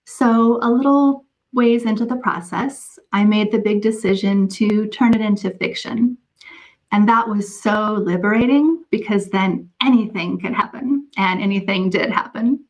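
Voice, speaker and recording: A woman novelist speaking as a podcast guest, answering conversationally in a measured, articulate manner. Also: medium pitch, dull audio. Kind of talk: conversational anecdotal interview answers.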